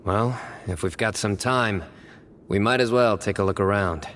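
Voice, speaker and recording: A man's voice: on the low side, normal speed, a bit bright, a little hiss or hum, natural voice. A man voicing a stern, serious adventurer in a video game, speaking in a terse, dry manner. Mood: grave. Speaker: game character.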